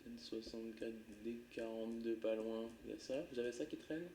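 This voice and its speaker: A man's voice: medium pitch, fairly quick, dark tone, a little hiss or hum. A man commentating his own play of a geography game live, thinking aloud in a casual, muttering way with some swearing.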